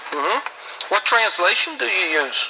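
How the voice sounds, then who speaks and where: A man's voice: sits high, sing-song, a little slow, gravelly. A man interviewing someone in an archival clip, asking short questions and answering with brief acknowledgements. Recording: faint hiss.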